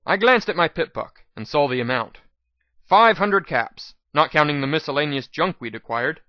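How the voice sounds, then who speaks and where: A man's voice: fairly high pitch, expressive, normal speed, no room sound. Male storyteller on a dry, dullish recording. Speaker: narrator. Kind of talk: dramatic first-person fan-fiction reading. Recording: slightly dull, dry lo-fi recording.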